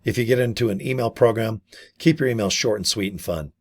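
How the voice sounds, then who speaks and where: Hoarse, gravelly man pitching casually. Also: a little fast. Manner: chatty, repeats "guys" and "okay". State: gravelly, hoarse voice from a vocal cord injury. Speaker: online business promoter. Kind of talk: casual sales pitch to camera.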